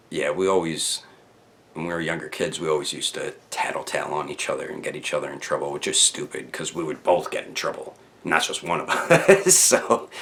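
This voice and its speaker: A man with a low voice hosts a casual storytime for his online viewers. He talks in loose, slangy sentences without a script, rambling and doubling back on words as he goes. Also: fairly bright tone. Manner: rambling, slangy, with stammered repeats. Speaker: YouTube storyteller, channel host.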